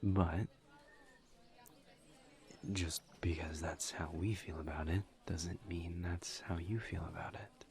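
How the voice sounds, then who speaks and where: A man acting as a courteous incubus demon in an intimate audio roleplay. His voice is very low and full of gravelly fry, and he picks his formal words slowly, with halting, soft, breathy delivery and earnest awkwardness. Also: fairly even intonation, heavily acted. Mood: awkward, earnest.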